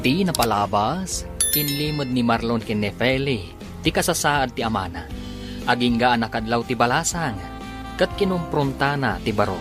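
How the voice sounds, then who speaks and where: A man's voice: medium pitch, expressive, normal speed. A man with a Filipino Ilocano accent narrating and announcing a radio drama serial in a scripted style, over music. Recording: music behind the voice.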